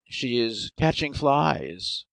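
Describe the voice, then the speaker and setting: A man's voice: medium pitch, sing-song, extremely slow, vocal fry, fairly bright tone, dry recording, heavily acted. A man playing a poor, earnest, emotional artist with a dramatic, exclaiming delivery in an audiobook. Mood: grumpy scorn. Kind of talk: dramatic audiobook character reading.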